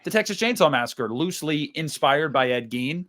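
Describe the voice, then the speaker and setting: A man's voice: on the high side, lively intonation, normal speed, a bit bright. A young American man co-hosting a podcast, arguing his opinion in an emphatic, informal way. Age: young adult. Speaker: podcast co-host.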